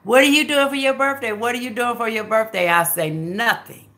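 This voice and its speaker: A woman's voice: a little high, fairly quick, a bit bright. A woman in her fifties from Memphis with a Southern accent, chatting folksily with her livestream viewers and calling them baby and girl. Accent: Southern American. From Memphis, USA. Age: 50s. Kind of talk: casual livestream chat.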